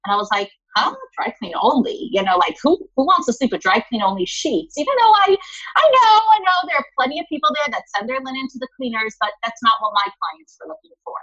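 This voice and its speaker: A woman's voice: sits high, sing-song, fast, pushed and bright, muffled recording. A woman who runs a linen store, chatting in a podcast interview and often calling the listener honey. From Los Angeles area.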